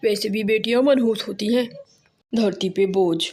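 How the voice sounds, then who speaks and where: A woman's voice: medium pitch, moderate pace, darkish tone, lightly acted. A woman voicing a cruel male bird in a cartoon, a husband speaking with harsh contempt. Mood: cruel anger. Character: cruel, heartless bird husband rejecting daughters.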